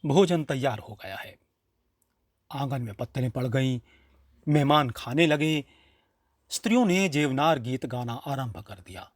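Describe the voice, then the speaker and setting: A man reading a literary short story as its narrator, in an expressive storytelling style. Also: mid-range voice, dry recording, studio quality.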